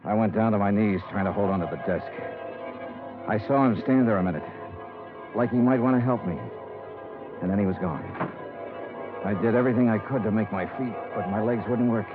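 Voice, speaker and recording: An actor playing a tough, sardonic insurance investigator in a 1950s radio detective drama, clipped and wisecracking. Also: male voice, fairly low pitch, a little fast, long pauses, close to an everyday voice. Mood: weary narration. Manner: clipped, wisecracking, hard-boiled. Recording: muffled 1950s radio recording with music.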